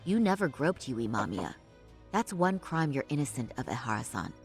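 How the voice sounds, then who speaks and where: An actress playing a composed female lawyer in a game cutscene, speaking in a polite, measured, formal way. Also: rumbling low, very animated, soft background music. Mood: earnest. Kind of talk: game voice acting, dub.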